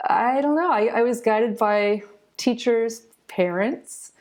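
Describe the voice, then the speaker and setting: A woman's voice: on the high side, slow, a little mellow. A woman in her forties with an American accent, telling her own story informally and candidly as an interview guest. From southern Ohio, United States. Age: 40s. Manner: informal, candid, often adds "you know". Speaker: interview guest, retired physician turned author. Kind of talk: conversational personal storytelling.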